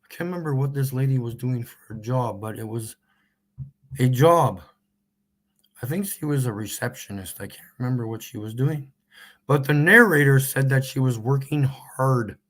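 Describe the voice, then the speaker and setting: A man's voice: mid-range voice, moderate pace, fairly bright tone. A man with a Canadian accent, live streaming as he makes a prank phone call and then rants in a mocking, sarcastic way.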